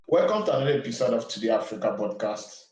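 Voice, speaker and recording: A man's voice: mid-range voice, very animated, quick pace, echoey room, over loud music. A man hosting a podcast, introducing his guest and asking questions formally and politely. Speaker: podcast host. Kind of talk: interview hosting.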